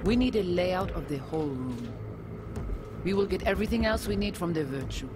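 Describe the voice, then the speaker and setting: An actor playing a terse, secretive gang fixer in a video game, giving curt orders. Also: male voice, expressive, fairly quick. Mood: instructing. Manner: curt, commanding, clipped non-native phrasing. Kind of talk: video game dramatic acting. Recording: noisy ambience, vehicle-like rumble.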